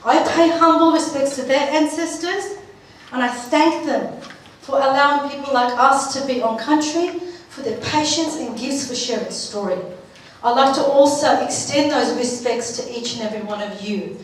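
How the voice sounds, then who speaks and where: A woman from Turkey giving an informal, personal conference talk in an expressive, chatty and heartfelt way. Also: sits high, normal speed. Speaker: conference speaker. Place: large echoey room at a live event. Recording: echoey hall, distant mic, faint noise.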